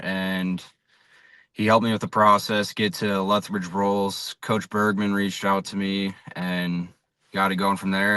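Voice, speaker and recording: Young American male athlete, unassuming interview answers, very low pitch, dry close recording. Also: bright, forceful tone, clean tone, no room sound. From South Dakota, USA. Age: young adult. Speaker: college baseball pitcher, interview guest.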